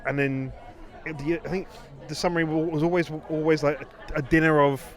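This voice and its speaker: A man's voice: a little high, steady tone, fairly quick, some chatter behind. A man hosting a podcast in rambling, bantering casual chat. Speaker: podcast host.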